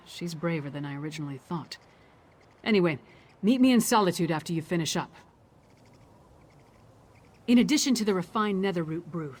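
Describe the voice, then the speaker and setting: Woman playing a brisk, pragmatic adventurer, faint ambience behind. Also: fairly low pitch, darkish tone, a little hiss or hum, natural voice. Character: brisk, pragmatic female adventurer ally in a fantasy game. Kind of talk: scripted video game dialogue.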